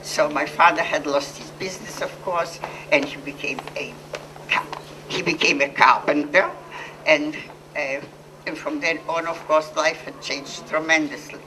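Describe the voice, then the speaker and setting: A woman's voice: low voice, fairly even intonation, far from the mic, noisy background. An older woman from Poland with a Polish accent, giving an earnest personal testimony to an audience and often correcting herself mid-sentence. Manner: earnest, halting, with restarts and self-corrections. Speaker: Holocaust survivor speaker.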